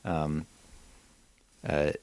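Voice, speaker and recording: A man co-hosting a comedy podcast, improvising pitches in casual, jokey banter and slipping into exaggerated bits. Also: little pitch movement, extremely slow, a little mellow, clear, resonant tone. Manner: jokey, slips into mock voices and exaggerated bits. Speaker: podcast co-host.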